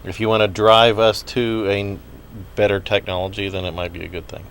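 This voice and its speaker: A man's voice: on the low side, little pitch movement, fairly quick, a bit bright, a little hiss or hum. A man chiming in on a studio talk show with short, dry remarks. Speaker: media director, co-host. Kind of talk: casual studio chat. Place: university TV studio.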